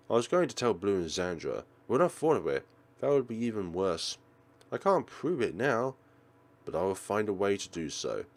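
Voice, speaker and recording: A man narrating a visual novel aloud in an expressive, theatrical way, as a let's play host. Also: deep voice. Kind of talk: dramatic read-aloud narration.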